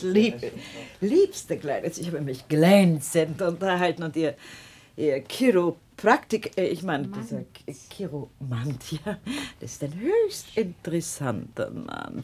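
A woman's voice: fairly low pitch, a little hiss or hum. A woman playing a fussy, scatterbrained duchess in a radio play, dithering, stammering and effusively grateful. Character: scatterbrained, fussy, fluttery old-fashioned duchess.

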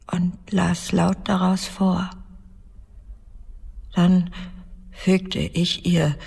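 A cold-blooded woman confessing murder in a radio drama. Her voice is husky and creaky, with slow, deliberate phrasing that slips into breathiness. A long reverb trails every phrase. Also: low voice, full character voice. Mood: cold, cruel. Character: cold, vengeful female murderer confessing her cruel deeds.

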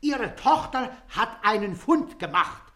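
A man's voice: extremely high pitch, sing-song, measured pace, fairly bright tone, full character voice. An actor in a German radio play playing an indignant old man, querulous and stammering as he repeats himself. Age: older. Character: excitable, indignant old man demanding his rights.

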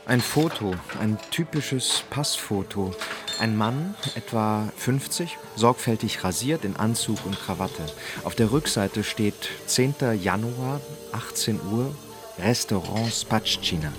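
An actor as the sober narrator of a radio crime drama, delivering scripted lines in a measured, terse way. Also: male voice, on the low side, expressive, music in the background. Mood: matter-of-fact.

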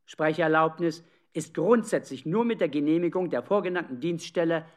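An actor playing a cold bureaucratic clerk, reading official orders formally and impersonally in a radio drama. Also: male voice, fairly high pitch, moderate pace, lightly acted. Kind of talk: official document read aloud in radio drama.

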